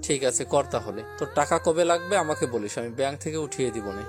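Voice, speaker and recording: A man's voice: mid-range voice, lively intonation, fast, lightly acted. A man playing a practical, supportive middle-aged father in an audio story, casual and fatherly. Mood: calm, supportive. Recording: music clearly behind the voice.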